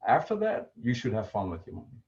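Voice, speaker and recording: A man's voice: lowish voice, sing-song, fast, darkish tone. A middle-aged man with a Dutch accent, explaining budgeting in a casual livestream with many fillers and restarts. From Netherlands. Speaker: personal finance presenter. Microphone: video call. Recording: muffled lo-fi video call audio.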